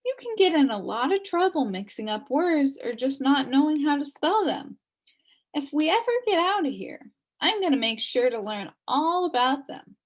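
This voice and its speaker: A woman's voice: high voice, big pitch swings, moderate pace, pitched above the performer's own voice. A woman voicing a naive, worried young boy in a storybook, earnest and plaintive.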